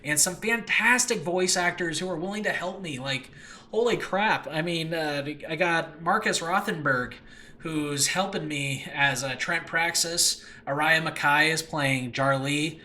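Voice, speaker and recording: Bright-voiced, excitable male podcast host. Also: a little high, a little hiss or hum. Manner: excitable, gushing, effusive; rambling, halting, self-interrupting. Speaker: host and creator of an audio drama channel. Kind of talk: casual solo podcast talk to listeners.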